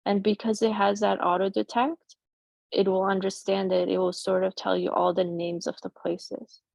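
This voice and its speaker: A middle-aged woman whose first language is Persian, casually walking through a software demo on a video call with many fillers and restarts. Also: mid-range voice, little pitch movement, moderate pace, gravelly, no room sound. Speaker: presenter demoing a chatbot tool.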